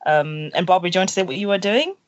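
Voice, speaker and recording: A woman's voice: lowish voice, racing, no room sound, dull audio. A young woman from Zimbabwe explains her path in a podcast interview, earnest and full of little fillers. The sound is dry and close, with no room around it. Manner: earnest, lots of fillers and "literally". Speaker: co-founder guest. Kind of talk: interview conversation.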